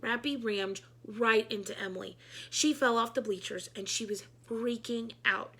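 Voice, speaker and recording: A woman reading a children's book aloud in an animated, emphatic storytelling style. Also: on the high side, moderate pace, breathy edge.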